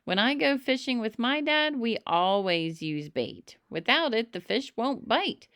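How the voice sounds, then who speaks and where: A woman's voice: on the high side, big pitch swings, measured pace, a bit bright, dry recording, studio quality, pitched above the performer's own voice, heavily acted. A woman voicing an eager, boastful young boy in a children's storybook, proudly showing what he knows. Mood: knowing.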